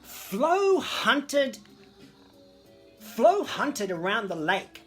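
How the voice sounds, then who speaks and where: A woman's voice: fairly high pitch, very animated, extremely slow, a bit bright. A woman hosting children's story time, reading a picture book to camera in a theatrical, camp, exclamatory way. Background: disco music.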